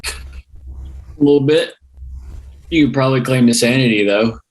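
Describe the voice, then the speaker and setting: A man's voice: medium pitch, normal speed, lightly acted, low-quality recording. A man playing a laid-back friend who is shy in love, speaking casually and wryly in an acted script reading. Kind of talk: acted table read.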